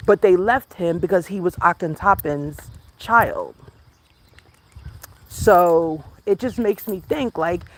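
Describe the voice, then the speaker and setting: A woman from the United States hosts a casual livestream and rambles to her viewers. She talks in a loose, animated way, full of asides. She is recorded outdoors while out on a walk. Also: lowish voice, slow pace. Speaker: livestream host. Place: outdoors on a walk, rural or natural setting.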